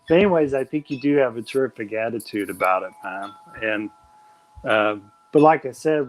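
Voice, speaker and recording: Man, stream host, casual chat, rambling, enthusiastic, mid-pitched voice. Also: darkish tone, poor audio. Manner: rambling, enthusiastic, many fillers and restarts.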